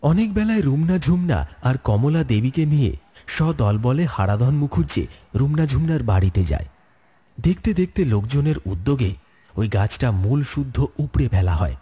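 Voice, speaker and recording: A man narrating a scripted horror story in an expressive storytelling manner. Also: medium pitch, normal speed, slightly rough. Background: music.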